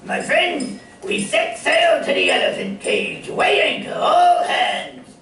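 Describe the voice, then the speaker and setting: A man playing a gruff, good-natured cartoon sailor who mutters and stammers in salty talk, in an old-time radio comedy style. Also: very high-pitched, big pitch swings, takes it slowly, bright, forceful tone, full character voice. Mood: hearty, amazed. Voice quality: gravelly. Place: playhouse stage before a live audience. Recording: echoey hall from far off, faint noise.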